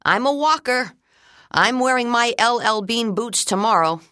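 A woman's voice: fairly high pitch, expressive, unhurried, bright, forceful tone, dry recording, clean studio sound, pitched above the performer's own voice. A woman voicing an eager young schoolboy in an audiobook.

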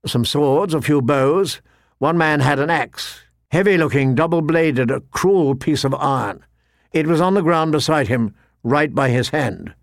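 A man's voice: fairly high pitch, unhurried, vocal fry, no echo, studio quality. A man voicing a wary poacher turned scout in an audiobook, reporting in plain, guarded, rustic speech.

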